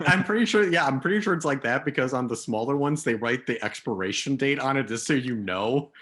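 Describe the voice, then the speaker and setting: A man's voice: medium pitch, animated, a little fast, a bit bright. Man with a slight Wisconsin accent. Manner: rambling, filler-heavy, "like" often. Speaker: podcast guest. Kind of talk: casual chat.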